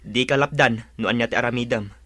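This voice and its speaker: A man's voice: medium pitch, fast talker, pushed and bright, no echo. A man playing an impatient young husband in an Ilocano radio drama, torn between wife and mother. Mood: irritated.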